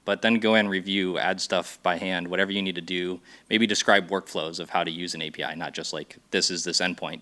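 Bright-voiced low man on a panel. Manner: conversational, explains with examples, frequent fillers. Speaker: panelist, software engineer. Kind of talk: conference panel talk. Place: large conference hall with audience. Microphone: handheld mic.

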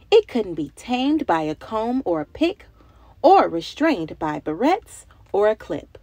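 A young adult woman narrating a picture book for small children in an expressive, dramatic way with emphatic, drawn-out words. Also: high voice. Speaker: children's read-aloud storyteller. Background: music bed.

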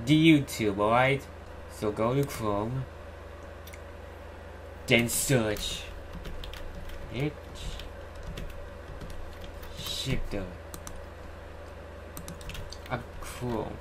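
A young man giving a casual screen-recorded tutorial in a halting, repetitive way. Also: mid-range voice. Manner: halting, repetitive, says "alright" often. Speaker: YouTuber host. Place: indoor room at home. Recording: clearly noisy home recording, keyboard typing.

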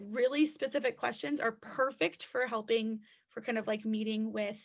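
A woman's voice: fairly high pitch, gravelly, lo-fi sound. A woman college admissions counselor answering questions on a webinar through a computer mic, chatty and full of fillers.

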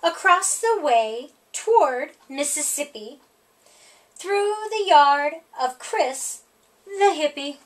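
A middle-aged woman reads a children's picture book aloud, with expressive, sing-song emphasis on the rhymes. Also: high voice, slow pace. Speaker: storytime reader.

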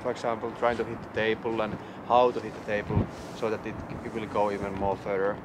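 A man coaching a beginner in ski jumping, speaking calmly and plainly with hesitant fillers. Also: on the low side, fast talker. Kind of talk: casual explaining. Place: changing room and outdoors on a ski hill. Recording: roomy, distant, very noisy.

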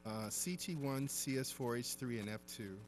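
A man acting as mayor, chairing a council meeting in a wood-paneled chamber, brisk and procedural, and firm when he interrupts. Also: medium pitch, a little hiss or hum. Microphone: desk microphone on the dais.

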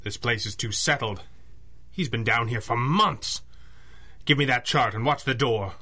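An actor playing a sober, analytical masked crimefighter in a 1930s-style radio drama, crisp and formal. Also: male voice, mid-range voice, measured pace, bright, forceful tone. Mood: grave, urgent.

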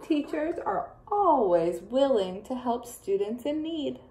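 A young woman teacher reading a picture book aloud to children, expressive and full of playful asides. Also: high voice, slow pace. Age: young adult.

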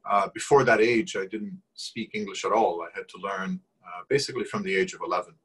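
A man's voice: deep voice, a little fast, a bit bright, breathy edge, poor audio. A Brazilian-born man in his forties whose first language is Portuguese, talking thoughtfully in a video call interview from a small room at home, often restarting and repeating words. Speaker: guest. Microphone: video call webcam.

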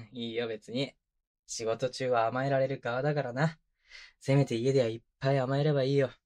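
A young boyfriend, played by a male voice actor, speaks up close in a mid-pitched voice. He is tender and doting, with a teasing, mock-scolding edge and casual masculine phrasing. It is intimate binaural roleplay audio made for headphones. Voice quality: medium-low voice. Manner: casual, rough-edged masculine speech, soft laughs. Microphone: close binaural microphone.

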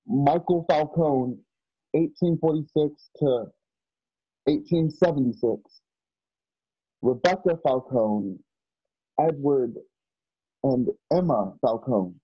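Man acting earnest young western sidekick, raspy higher voice, slow stammering phrases, radio play, muffled lo-fi sound. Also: exaggerated voice. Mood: curious, solemn. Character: earnest, loyal, naive young cowboy companion. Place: home, on a group video call.

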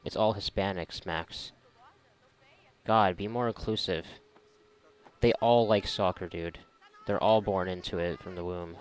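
A man's voice: low-pitched, steady tone, measured pace, pauses often, low-quality recording. A man giving casual let's play commentary in a sarcastic, joking and profane manner. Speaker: gamer, video creator. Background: game music.

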